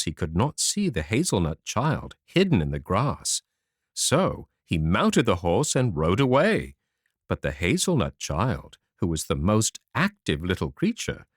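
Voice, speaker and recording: A man narrating a children's fairy tale audiobook in an expressive, animated storytelling manner. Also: lowish voice, no echo, studio quality.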